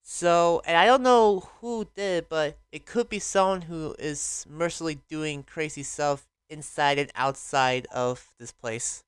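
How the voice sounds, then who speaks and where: A man's voice: a little high, measured pace, hardly any pauses, smooth, no room sound, lightly acted. A man playing a troubled investigator in an improvised anime fantasy roleplay, casual, hesitant and rambling.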